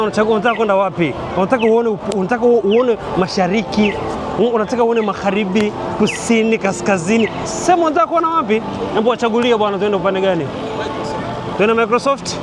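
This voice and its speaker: A man's voice: high-pitched, fast, background noise. A Tanzanian man with a Tanzanian accent, chatting and joking to viewers as he films a walking vlog on a busy city street. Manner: joking, chatty, addresses viewers, laughs often. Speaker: vlogger. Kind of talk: casual walking vlog commentary. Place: busy city street outdoors at night celebration. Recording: street recording with loud crowd and music.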